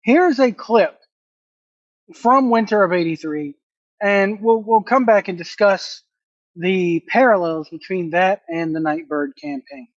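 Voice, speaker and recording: A man gives casual, off-the-cuff video commentary as host. His delivery is halting and searches for words. The recording is dry, with a dull lo-fi tone. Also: high-pitched, dry recording.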